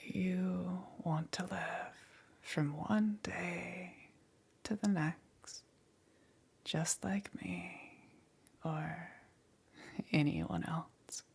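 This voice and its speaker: A demon queen, played by a woman, whispers in a husky, very breathy voice with a heavy crackle of fry. She is seductive and possessive with a melancholy streak, speaking slowly and with soft condescension in an intimate ASMR roleplay. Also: on the low side, fairly even intonation, heavily acted. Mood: probing, amused. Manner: slow, deliberate, softly condescending.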